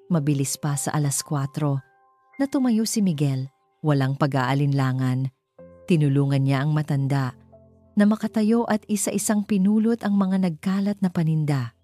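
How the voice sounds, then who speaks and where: Female narrator for romance audio story, Filipino accent, low and soft-edged mellow delivery. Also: lively intonation, moderate pace, soft background music.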